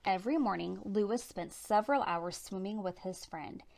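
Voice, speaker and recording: A woman reading a children's picture book aloud as storytime narrator, with animated, dramatic emphasis. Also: medium pitch, moderate pace, vocal fry. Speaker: storytime reader.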